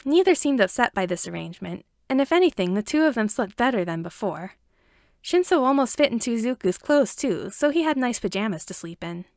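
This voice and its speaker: Woman, story narration, fairly high voice, noticeable creak, dry close recording. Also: sing-song, brisk, dry recording. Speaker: amateur audiobook reader.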